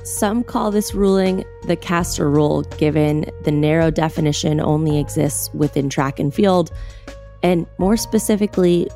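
A woman's voice: on the low side, a little mellow. A woman narrating a video news report in a scripted, earnest, explanatory way. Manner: earnest, measured explanatory delivery.

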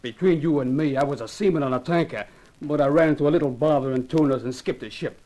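A man's voice: on the high side, fast, mellow, lightly acted, rough recording. A man playing an easygoing, deferential ex-seaman turned guard, talking in a folksy, colloquial way in a 1950s radio drama. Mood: friendly.

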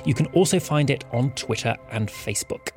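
A man's voice: medium pitch, fairly quick. A man reading scripted thanks and announcements as a podcast announcer. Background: loud music.

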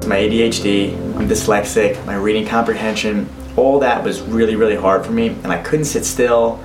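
A man talks candidly about himself in an interview clip. He sounds distant and roomy, and music plays clearly over him. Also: lowish voice, fairly even intonation, moderate pace. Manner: candid, reflective.

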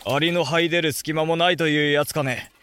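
An actor playing a young samurai lord in a Japanese period voice drama, wry and easygoing, with old-fashioned bantering speech. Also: male voice, on the high side, fairly even intonation, fairly quick, fairly bright tone, slight background noise, close to an everyday voice. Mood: wry, grumbling.

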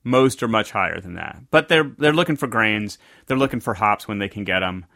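A man's voice: fairly low pitch, expressive, brisk, vocal fry, bright, forceful tone, no room sound, studio quality. A man from the American South, co-hosting a casual podcast and telling a rambling story that often circles back to correct itself. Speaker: podcast co-host. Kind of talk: casual conversational podcast chat.

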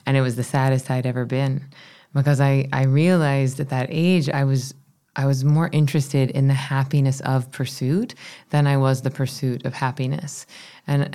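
A woman in her thirties from Florida, a meditation teacher with a low voice, telling her story in an animated, chatty way. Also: normal speed, clean tone. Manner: animated, chatty, self-deprecating. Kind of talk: casual interview storytelling.

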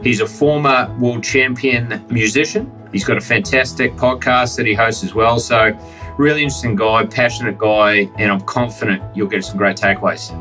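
An upbeat male podcast host introduces his guest, his voice bright and forceful. He sits a little away from the mic, and a plucked guitar plays faintly behind him. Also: fairly low pitch, steady tone, normal speed, recorded from a distance. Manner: upbeat, enthusiastic, calls listeners "guys". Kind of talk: interview introduction.

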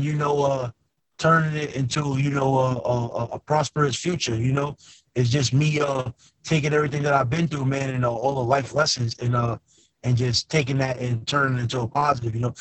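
A man's voice: medium pitch, rough recording. A man speaking as an interview guest in casual, earnest talk peppered with conversational tags. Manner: earnest, repeats tags like "man" and "you know".

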